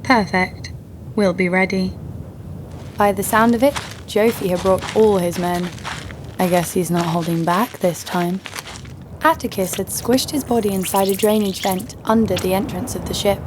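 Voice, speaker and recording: A woman's voice: mid-range voice, steady tone, moderate pace, noisy background, lightly acted. A woman playing a sardonic, vengeful bounty hunter who narrates her own story in an audio drama. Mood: wry, tense. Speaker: lead actor and narrator.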